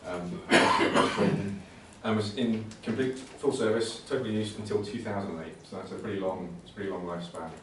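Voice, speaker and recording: Low, even-voiced man in a very echoey room. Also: normal speed. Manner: hesitant, conversational, repeats words. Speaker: presenter at a computing conservation talk. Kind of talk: informal technical presentation with slides. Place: indoor talk venue. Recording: very echoey room, distant mic, faint noise.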